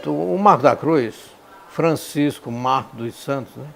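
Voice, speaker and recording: A man's voice: medium pitch, a little slow, creaky, fairly bright tone, slight background noise. An older man with a northeastern Brazilian accent, reminiscing in an interview and repeating words for emphasis.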